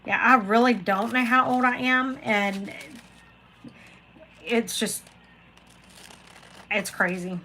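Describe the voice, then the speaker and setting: Woman in her forties, chatty livestream host, unhurried, slightly high voice. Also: bright, forceful tone, slight background noise. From Florida, USA. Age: 40s. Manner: rambling, chatty, talks to viewers by name. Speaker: craft channel host reading live chat. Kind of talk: casual livestream chat.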